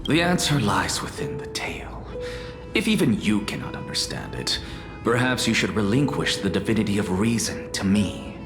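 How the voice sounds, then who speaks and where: A man's voice: fairly low pitch, very animated. An actor playing a proud young male scholar in a video game, with haughty, theatrical delivery. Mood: smug, mocking. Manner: theatrical, haughty, deliberate phrasing. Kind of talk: dramatic video game voice acting. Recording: echoey hall reverb with music behind.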